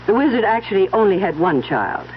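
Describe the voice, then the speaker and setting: Woman acting older genteel mother, coolly polite and probing, lively pitch, lo-fi old radio. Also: fairly quick, lightly acted. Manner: formal, polite, pointed questioning. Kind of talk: 1970s radio drama acting. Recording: dull lo-fi old radio broadcast, faint noise.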